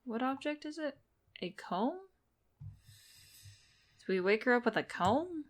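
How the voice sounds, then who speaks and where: A woman's voice: high-pitched, big pitch swings, a little slow. A woman giving casual playthrough commentary, thinking aloud as she plays. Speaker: game streamer.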